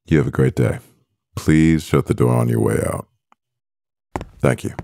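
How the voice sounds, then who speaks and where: Man acting as CEO boyfriend, extremely low voice, heavy vocal fry, unhurried, composed and doting, ASMR audio roleplay. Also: warm tone, no room sound, studio quality, full character voice. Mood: composed, businesslike. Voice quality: very deep, gravelly. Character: powerful male CEO boyfriend, composed, doting, gently teasing.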